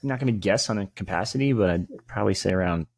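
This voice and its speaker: A man with a fairly low voice co-hosts a casual sports podcast. He rambles informally and shares opinions freely. The sound is close and dry. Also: quick pace, creaky, a little mellow, husky, no echo.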